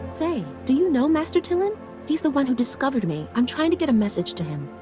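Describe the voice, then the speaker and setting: An actress playing a starstruck, chatty young apprentice in a video game, informal and wide-eyed. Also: female voice, high voice, lively intonation, fast talker. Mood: excited wonder. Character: eager, chatty, starstruck young Padawan girl. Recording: loud music behind.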